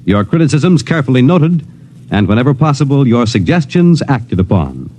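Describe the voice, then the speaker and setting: A man announcing for a radio network in a formal, measured, dramatic 1950s style. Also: mid-range voice, sing-song, dark tone. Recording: old radio recording, faint noise.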